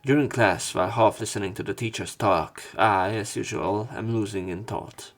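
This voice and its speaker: A man's voice: lowish voice, normal speed. A man reading a visual novel's narration aloud as a let's player, stumbling now and then and repeating words. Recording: music playing behind the voice. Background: game music.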